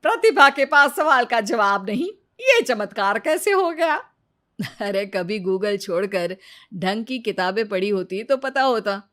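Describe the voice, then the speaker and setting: A clever, teasing storybook husband, played by a woman. He explains things confidently with a mild scolding edge, in a medium-pitched voice. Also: lively intonation, a little slow, a bit bright. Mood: smug, challenging. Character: clever, teasing husband who lectures his proud wife.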